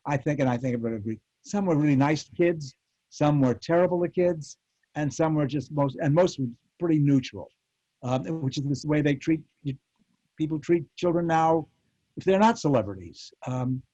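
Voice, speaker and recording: An older man reminiscing casually as a panel guest, telling stories in a halting, rambling way over an online call. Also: on the high side, warm tone, lo-fi sound. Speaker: panel guest, former child radio actor. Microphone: video call.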